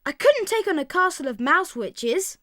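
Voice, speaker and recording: An actress playing an eager, brave young boy turned mouse in an audio drama, earnest and excitable. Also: very high voice, normal speed, no echo, clean studio sound, full character voice. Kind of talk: audiobook full-cast drama acting.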